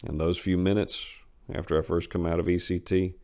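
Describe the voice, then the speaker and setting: Man acting out a low, gravelly haunted war veteran. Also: flat delivery, a little fast, darkish tone. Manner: plain-spoken, confessional, sardonic. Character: big middle-aged Vietnam veteran patient, haunted, dryly wry. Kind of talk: first-person dramatic short-story reading.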